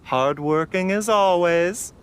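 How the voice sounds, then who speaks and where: A man's voice: high voice, slow, a little hiss or hum. An actor voicing a genteel, urbane young male doctor in a video game, polite and theatrical. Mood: courteous, pleased. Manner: polite, theatrical, smooth-tongued. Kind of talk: video game character dialogue.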